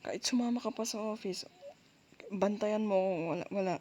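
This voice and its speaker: A woman with a Filipino accent doing intimate girlfriend roleplay ASMR, speaking softly and closely in a soothing, coaxing, reassuring way. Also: mid-range voice, steady tone, a little fast. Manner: soothing, coaxing, with frequent gentle check-ins. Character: soft dominant, reassuring, apologetic girlfriend comforting her partner. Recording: faint background noise.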